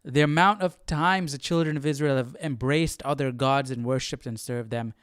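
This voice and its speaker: A man's voice: medium pitch, normal speed, a bit bright, clean tone, no echo, studio quality. A man hosting a Bible study stream, commenting casually and chattily on a reading.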